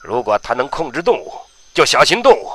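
A man playing a stern, middle-aged army general in a radio drama, barking clipped, forceful orders. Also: medium pitch, sing-song, a little fast, breathy edge. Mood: stern, urgent. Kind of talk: Chinese radio drama acting.

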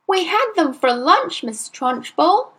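A woman's voice: very high voice, very animated, slow pace, fairly bright tone, voice pushed up, heavily acted. A woman voicing a brave, cheeky small schoolboy who answers back politely, reading from a storybook.

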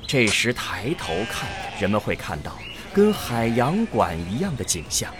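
A man's voice: mid-range voice, sing-song, moderate pace, over music. A man narrating an audio drama from a script in a grave, dramatic manner.

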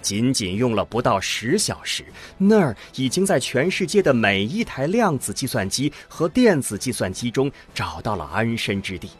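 A man narrating a scripted science fiction audio drama in a dramatic storytelling manner, landing phrase endings deliberately. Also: mid-range voice. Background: music under the voice.

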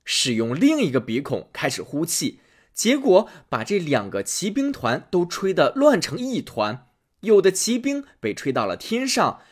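A man reading a fairy tale aloud as a storyteller, in a lively, expressive way. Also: high-pitched, a little slow, breathy edge.

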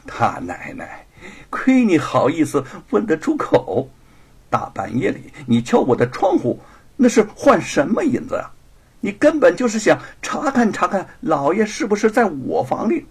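A man voicing a sharp-tongued, coquettish young mistress in an audiobook story. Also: high voice, wildly swooping pitch, fairly quick, breathy edge, voice pushed up, heavily acted. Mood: coy mockery. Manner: coquettish, biting.

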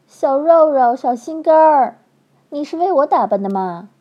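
A woman's voice: high voice, lively intonation, a little slow, muffled recording, pitched above the performer's own voice. A woman voicing a clingy, heavily made-up young widow who talks in a coaxing, baby-talk way.

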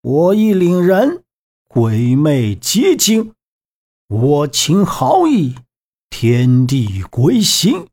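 A man voicing a calm old grandfather in an audiobook, reciting measured, meditative verse in a chanting delivery. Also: fairly high pitch, exaggerated voice. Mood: serene, solemn. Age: older. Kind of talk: audiobook character voice.

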